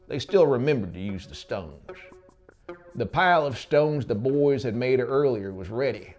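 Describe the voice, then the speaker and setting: Animated Southern man telling a story. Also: medium pitch, lo-fi sound. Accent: Southern American. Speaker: audiobook narrator. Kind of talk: dramatic story narration. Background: music.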